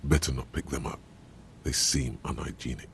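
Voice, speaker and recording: An actor voicing a grave, world-weary inner voice that narrates a detective's thoughts in slow, literary, ominous phrases. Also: male voice, fairly even intonation, slight background noise. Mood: dry, grave. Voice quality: deep, gravelly. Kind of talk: scripted dramatic game narration.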